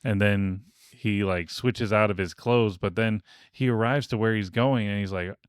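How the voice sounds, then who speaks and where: A man co-hosting a casual podcast discussion, explaining things in a measured way. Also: on the low side, clear, resonant tone, dry recording, studio quality. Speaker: podcast co-host. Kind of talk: casual panel discussion of old radio serials.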